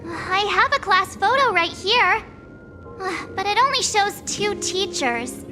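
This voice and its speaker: A woman's voice: extremely high pitch, animated, slow, big-room sound, music in the background, full character voice. A woman playing a cute, forgetful, easily flustered schoolgirl in an anime-style game, hesitant and exclaiming. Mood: hesitant realisation.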